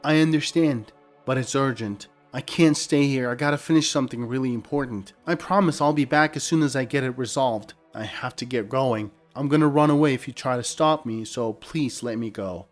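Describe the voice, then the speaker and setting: A man's voice: mid-range voice, moderate pace, soft background music, lightly acted. A man voicing an angry, betrayed husband with a cold, curt manner in a narrated story. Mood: urgent. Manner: curt, speaks through gritted teeth.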